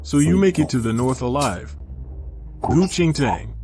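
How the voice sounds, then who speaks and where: An actor playing a guarded, authoritative young prince of imperial China in a dubbed period drama. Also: male voice, mid-range voice, animated, measured pace, a little mellow, close to an everyday voice. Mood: resolute. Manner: curt, authoritative orders.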